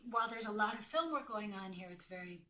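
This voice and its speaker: A woman with a bright, forceful voice of average pitch talks as an acting coach in a question-and-answer conversation. She is direct and emphatic, laying out her advice plainly. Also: lively intonation, fast. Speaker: acting career coach and working actor.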